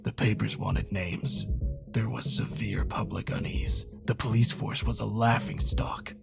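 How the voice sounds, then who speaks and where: An actor playing a bitter, world-weary middle-aged police detective in a game cutscene, hard-boiled and foul-mouthed with a gravelly voice. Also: male voice, lowish voice, fairly even intonation, unhurried. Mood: weary, haunted. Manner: hard-boiled, sardonic, cursing. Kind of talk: noir video game cutscene acting. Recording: loud music under the voice. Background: loud music.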